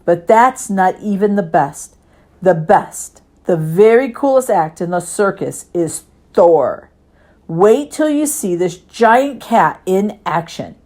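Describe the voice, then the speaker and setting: A woman's voice: mid-range voice, animated, takes it slowly, dark tone. A woman voicing an eager, kind-hearted young boy in a storybook, earnest and childlike. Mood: excited.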